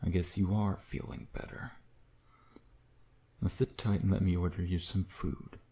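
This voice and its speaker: A man playing a smug, flirtatious vampire who dotes on his prey in an intimate ASMR roleplay, teasing and murmuring with breathy asides. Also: deep voice, steady tone, unhurried, mellow.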